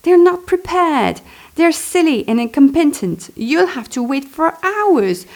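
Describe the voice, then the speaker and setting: A woman's voice: high-pitched, very animated, a little slow, a little mellow. A woman reads her own memoir aloud, expressively, adding chatty, self-deprecating asides. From Belgium. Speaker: author reading and commenting on own memoir.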